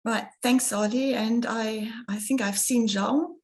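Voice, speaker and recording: A woman's voice: fairly high pitch, fairly even intonation, measured pace, a little mellow. A woman from Germany moderating an online roundtable on a video call, speaking hesitantly with many fillers.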